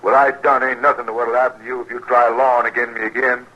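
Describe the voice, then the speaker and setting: An American actor playing a mean, sneering frontier husband in a 1950s radio western, with crude rustic speech. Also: male voice, medium pitch, level tone, fast, close to an everyday voice. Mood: menacing. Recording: dull, noisy old radio recording.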